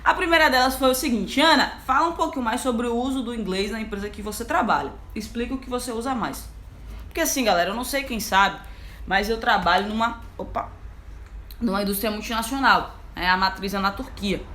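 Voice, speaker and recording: A young Brazilian woman, an engineer, talking casually to her online audience as a mentor, lively and chatty with many fillers. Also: a little high, fairly quick. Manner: lively, chatty, frequent fillers like "então, assim". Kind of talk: casual live talk to an audience.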